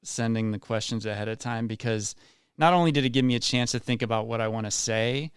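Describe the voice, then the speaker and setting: A man's voice: mid-range voice, brisk, clear, resonant tone, no echo, studio quality. A man around forty from West Virginia, a community leader speaking earnestly and effusively as a guest in a radio interview. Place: radio studio.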